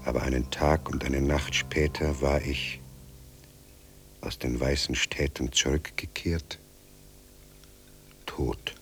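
An actor playing a dead, delirious writer and old soldier in a radio drama, brooding in slow, halting, gravelly monologue. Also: male voice, a bass voice, little pitch movement, a bit bright, soft background music, heavily acted. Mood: solemn, remembering.

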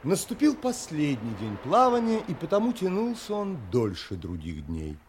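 An actor playing a reserved, romantic sea traveller in an audio drama, thoughtful and theatrical. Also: male voice, fairly high pitch, huge pitch swings, normal speed, a little mellow, slight background noise. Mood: wistful. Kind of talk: audio drama acting and narration.

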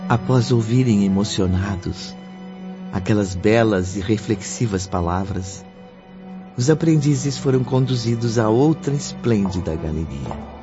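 A solemn radio-drama storyteller played by a man. He speaks slowly and dramatically, with wide swings of intonation. Music plays clearly behind him. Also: fairly low pitch, lively intonation. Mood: solemn, dramatic. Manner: dramatic, deliberate. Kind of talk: radio drama scripted narration. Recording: dull lo-fi audio under music.

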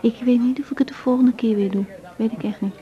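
A woman's voice: fairly high pitch, fairly even intonation, fast, some chatter behind, lightly acted. An actress playing a young, self-doubting woman doctor in a naturalistic radio drama, speaking hesitantly and frankly. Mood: troubled, reflective. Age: young adult. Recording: dull 1980s radio recording.

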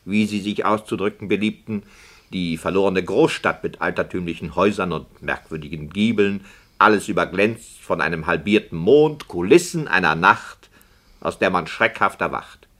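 An actor playing an argumentative, pompous radio play director, speaking in a rhetorical, sardonic manner in a 1960s German radio drama. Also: male voice, deep voice, normal speed, fairly bright tone. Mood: ironic.

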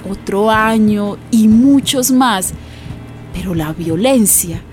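A woman's voice: mid-range voice, animated, takes it slowly, a little mellow, faint music behind. A woman playing an earthy, impassioned storyteller with a Colombian accent, narrating a radio drama expressively and speaking directly to listeners. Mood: grave.

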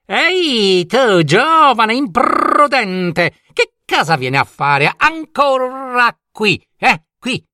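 A squealing cowardly wizard. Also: male voice, big pitch swings, slow, few pauses, sharp tone, dry recording, clean studio sound, heavily acted. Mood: scornful. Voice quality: high strained squeal. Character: scheming male wizard, pleading and cowardly.

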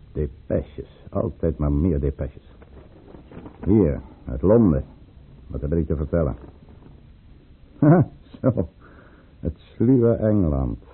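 An actor playing a commanding, guarded count and governor in an old-fashioned radio drama, with a formal, deliberate, urbane delivery. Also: male voice, rumbling low, a little hiss or hum, full character voice. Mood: sardonic, muttering. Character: commanding, guarded male count and governor, coolly courteous schemer. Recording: muffled, phone-like old radio recording.

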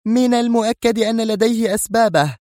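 A man voicing a stern, short-tempered female commander in an audiobook, speaking in a sharp, strained, commanding way. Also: extremely high pitch, a little fast, no echo, studio quality, pitched above the performer's own voice. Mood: tense, angry. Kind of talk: audiobook character voice.

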